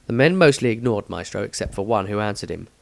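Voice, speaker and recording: A man's voice: fairly low pitch, lively intonation, fast, vocal fry, dry recording. Close, dry-sounding male storyteller. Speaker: author and narrator. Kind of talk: scripted storybook narration.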